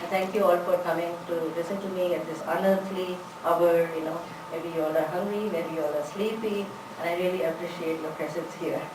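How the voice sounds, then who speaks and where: A woman's voice: on the low side, steady tone, brisk, hardly any pauses. A woman giving a conference talk on language teaching, chatty with many "you know"s. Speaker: conference presenter, English teacher. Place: large conference room. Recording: echoey hall, distant mic, background noise.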